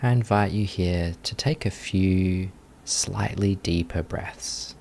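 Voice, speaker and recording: Deep voiced guy teaching meditation, really slow n gentle, lots of pauses, bit of background noise. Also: gravelly. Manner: unhurried, gentle instructing with long pauses. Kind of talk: guided meditation instruction.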